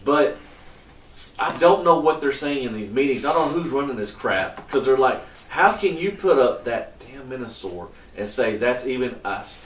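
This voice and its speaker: A man in casual convention chat, grumbling his complaints in a low-key way. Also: expressive, moderate pace, slight background noise. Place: convention hall. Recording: echoey hall, mic far off.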